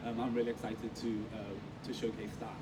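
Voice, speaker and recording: A man from Liverpool talks earnestly in a relaxed interview, often pausing on ums and restarting his sentences. He sounds set back from the mic, with traffic audible around the outdoor stage. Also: lowish voice. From Liverpool, England. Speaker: guest creative. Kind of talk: casual conversational interview. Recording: distant mic with street noise.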